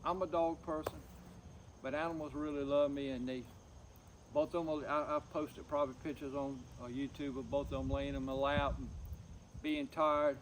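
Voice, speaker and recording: A middle-aged man talking personally to camera, subdued and grieving, in a halting, plain-spoken, folksy way. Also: a little high, level tone, slight background noise, poor audio. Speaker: outdoors vlogger.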